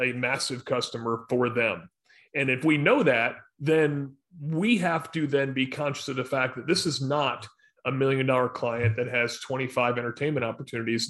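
An American man with a medium-pitched voice leads a professional discussion. He explains in a measured, instructive way and leans on key words for emphasis. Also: fairly bright tone. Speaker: sports sponsorship professional leading a discussion.

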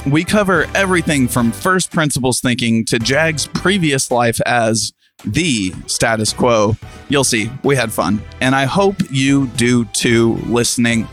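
A man's voice: medium pitch, a bit bright. A man hosting a podcast interview, asking loose, rambling questions in a casual chatty way. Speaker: podcast host.

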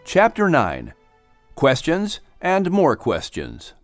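A man working as announcer and narrator, giving animated scripted storytelling for children. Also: fairly high pitch, slow, no echo, soft background music.

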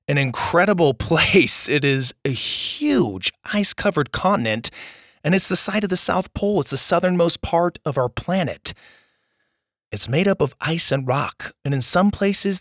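A man hosting a children's story podcast, hoarse from losing his voice, chatting in an upbeat, friendly way to young listeners. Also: a little high, a bit bright, no echo, studio quality. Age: adult with young children. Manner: upbeat and friendly, addressing kids directly. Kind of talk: casual outro chat to young listeners.

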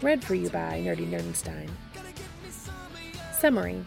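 A woman hosting and narrating fan fiction in a scripted, expressive storytelling read. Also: fairly low pitch, a little slow, creaky, over music. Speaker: podcast host and reader.

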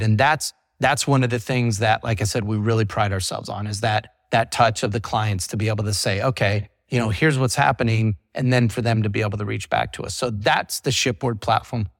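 A man's voice: lowish voice, steady tone, brisk, few pauses, no room sound, studio quality. A middle-aged man, a company CEO, talking through his business in a casual interview. He is chatty, leans on "you know" fillers, and acts out what his clients say. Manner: quotes clients in an acted voice.

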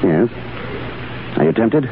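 An actor playing a daring, courtly legionnaire sergeant, suave and ardent, in dramatic 1930s radio style. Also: male voice, on the low side, sing-song, slow, noisy background, full character voice. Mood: teasing, romantic. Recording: tinny 1930s radio broadcast, music behind.

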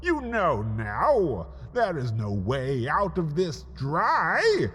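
Man, podcast narrator, mock-ominous, very slow delivery, hugely animated intonation, theatrical pauses, scripted storytelling. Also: fairly high pitch, a little hiss or hum. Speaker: host and narrator of a comedic horror podcast.

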